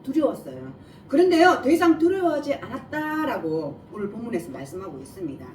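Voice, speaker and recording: A woman's voice: fairly high pitch, huge pitch swings, a little fast, a little mellow. A woman preacher with a regional Korean dialect and slightly imprecise, lisping articulation explains scripture verse by verse, putting questions to listeners. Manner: asks listeners rhetorical questions and answers them. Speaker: preacher giving a Bible message. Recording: echoey room, distant mic, faint noise.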